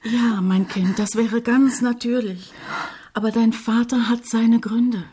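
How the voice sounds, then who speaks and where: An actress playing a gentle, submissive, anxious middle-aged mother in a German radio drama, soft and resigned. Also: on the high side, fairly even intonation, slow, husky. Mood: sorrowful, resigned.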